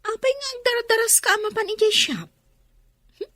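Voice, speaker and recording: A woman's voice: very high-pitched, fairly quick, fairly bright tone, no echo. A woman with a Filipino accent acting a teasing, outspoken young student worker in a radio drama. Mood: teasing, animated.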